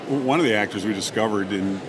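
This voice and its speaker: A man's voice: lowish voice, quick pace. A man talking casually as a podcast guest who makes audio dramas. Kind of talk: casual interview conversation. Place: live on site at a convention. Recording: noisy live recording with background hum.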